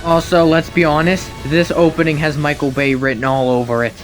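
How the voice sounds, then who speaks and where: A man's voice: fairly high pitch, flat delivery, fairly quick, faint music behind. A man giving mocking, nitpicking commentary on game cutscenes in an incredulous, sarcastic manner. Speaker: video commentator.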